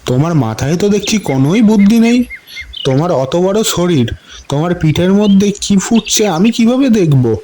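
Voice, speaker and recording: Cranky cartoon jackal, male actor: high male pitch, soft dark tone, irritable and dismissive. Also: expressive, normal speed, a little hiss or hum. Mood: scornful. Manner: irritable, muttering complaints. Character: grumpy, impatient, scornful jackal. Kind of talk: children's cartoon voice acting.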